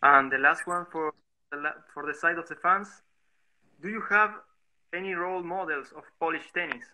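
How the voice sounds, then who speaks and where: Bright-voiced Spanish-speaking man hosting, halting English. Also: fairly high pitch, slight background noise, rough recording. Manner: halting, searching for English words. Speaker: interviewer. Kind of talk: informal live interview. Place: video call on social media live stream. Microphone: video call.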